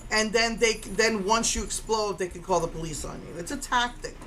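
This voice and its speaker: A woman in her fifties telling a story in an animated, indignant way, with a strong voice. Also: medium pitch, moderate pace, a little hiss or hum, rough recording. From Puerto Rico. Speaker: vlogger. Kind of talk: casual storytelling rant.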